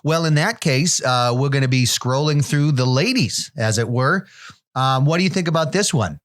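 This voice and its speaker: A man's voice: mid-range voice, normal speed, natural voice. A man playing a judgmental operator who describes dating profiles over the phone, hesitant and fussy, with many restarts. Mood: deadpan. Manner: hesitant, picky commentary with restarts.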